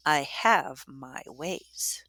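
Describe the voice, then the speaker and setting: A woman voicing a pompous, scheming schoolgirl in a shrill, emphatic storybook voice. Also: animated, fairly quick, sharp tone, no echo. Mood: dark, scheming.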